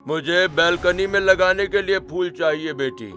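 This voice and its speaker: Slightly high-voiced polite middle-aged father acted by a man. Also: normal speed, sharp tone. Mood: polite, friendly. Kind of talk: animated story dialogue.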